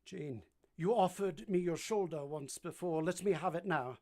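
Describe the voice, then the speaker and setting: An actor playing a brooding, commanding gentleman master of a manor in an old-time radio drama, abrupt and imperious. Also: fairly high pitch, normal speed, natural voice. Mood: shaken, pleading.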